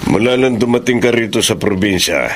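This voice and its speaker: A man's voice: on the low side, lively intonation, brisk, natural voice. An actor playing the concerned father of a grown son in a Filipino radio melodrama, with a Filipino accent. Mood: worried, reproachful. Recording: noisy radio drama ambience.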